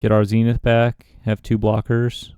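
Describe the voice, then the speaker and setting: Low mellow-voiced man musing over his plays. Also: measured pace, clean tone, dry recording, clean studio sound. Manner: relaxed, musing, talks himself through plays. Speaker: gaming content creator. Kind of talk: thinking-aloud card game commentary.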